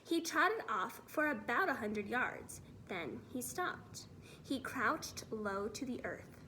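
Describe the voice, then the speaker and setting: A woman reading a children's story aloud as expressive narration, in the role of a teacher. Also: on the high side, takes it slowly, slight background noise.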